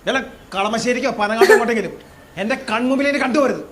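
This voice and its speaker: An actor playing a brusque, bossy police officer in a naturalistic film comedy. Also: male voice, very high-pitched, rapid-fire. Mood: scolding. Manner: bossy, gruffly informal. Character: brusque, scolding police station sub-inspector. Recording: film audio with loud crowd and traffic.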